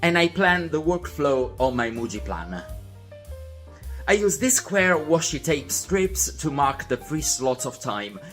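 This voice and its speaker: Man, young adult, animated, friendly and methodical, scripted vlog voiceover. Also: on the high side, a little slow, soft background music. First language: Italian. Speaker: vlog host.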